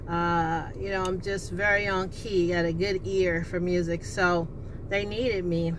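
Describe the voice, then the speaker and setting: A woman's voice: lowish voice, measured pace. A woman with an alto voice, telling a personal story in a rambling, casual way, with many restarts and fillers. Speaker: vlogger. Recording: steady background noise with passing vehicle sounds.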